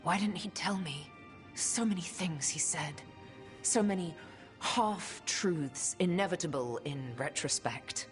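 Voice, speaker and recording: Wistful elf woman, low voice. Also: faint music behind. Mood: heartbroken, wistful. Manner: measured, reflective, wistful. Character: solemn, wistful female elf inquisitor, once betrayed in love. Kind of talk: dramatic fantasy game voice acting.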